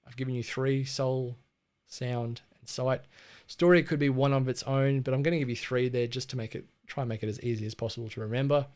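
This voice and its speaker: A man's voice: mid-range voice, steady tone, a little fast, darkish tone. A man with an Australian accent hosting a casual livestream on branding, chatty and laid-back, with frequent ums and restarts. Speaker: livestream host teaching branding.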